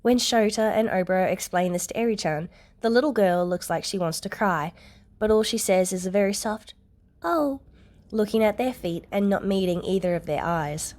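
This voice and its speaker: A woman's voice: medium pitch, brisk, darkish tone. A woman reading scripted fiction aloud as a narrator. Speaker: podfic narrator.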